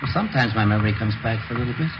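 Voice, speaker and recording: An actor playing an evasive husband suspected of murder, stammering and eager to seem helpful and innocent in a radio crime drama. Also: male voice, fairly low pitch, racing, close to an everyday voice. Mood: earnest, evasive. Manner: hesitant, stammering, eager to please. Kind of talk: 1950s radio drama acting. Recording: noisy, dull old radio recording.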